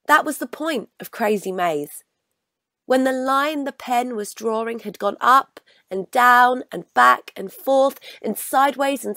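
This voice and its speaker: Slow-paced, higher-voiced woman reading a storybook. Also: no echo, clean studio sound. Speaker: storybook reader. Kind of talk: scripted children's story read-aloud.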